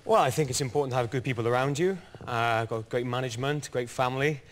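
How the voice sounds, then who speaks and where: A man in his twenties, a singer, chatting as a guest in a TV studio in a relaxed, earnest way. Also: mid-range voice, fast. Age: 20s. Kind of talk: casual TV chat.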